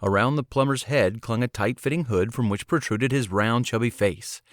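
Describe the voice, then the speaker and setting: A man's voice: mid-range voice, normal speed, dry recording, clean studio sound. A man reading scripted fantasy storybook narration as an audiobook narrator.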